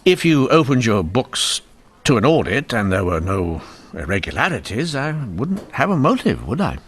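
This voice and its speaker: A man's voice: medium pitch, sing-song, normal speed, a little hiss or hum, lightly acted. An actor playing a shrewd, dogged police inspector in a period radio drama, formal and sardonic in his speech. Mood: accusing. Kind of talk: old-time radio drama acting.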